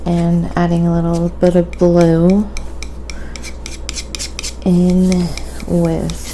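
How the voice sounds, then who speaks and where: A woman with a lowish, noticeably creaky voice speaks softly, at times nearly whispering. She chats casually in a quiet ASMR style as she teaches painting on a live stream, with clear background noise in the recording. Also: completely flat delivery, drawn-out words, rough recording. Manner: soft-spoken, sometimes dropping to a whisper.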